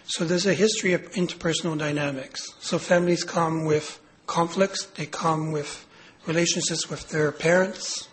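Guy lecturing with a cold, hoarse and raspy, lots of ums, kinda high voice. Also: fairly bright tone, rough recording. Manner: hesitant, many fillers and restarts. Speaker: clinical psychologist giving a lecture. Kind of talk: medical lecture.

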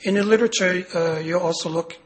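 Man, hoarse raspy voice, clinical lecture, hesitant delivery, somewhat high pitch. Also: fairly quick. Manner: hesitant, many fillers and restarts. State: raspy from a cold. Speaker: clinical psychologist giving a lecture. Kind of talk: medical lecture.

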